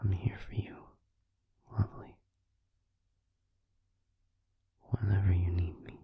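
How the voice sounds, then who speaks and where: A hushed, very breathy vampire boyfriend, acted by a man, murmurs low and close in a dry space. He is gentle and tender, soothing his partner in an intimate roleplay audio. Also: flat delivery, no room sound, lo-fi sound, heavily acted. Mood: tender, devoted. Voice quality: breathy, hushed, mostly whispered. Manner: soothing, tender, slow and reassuring. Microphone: close microphone.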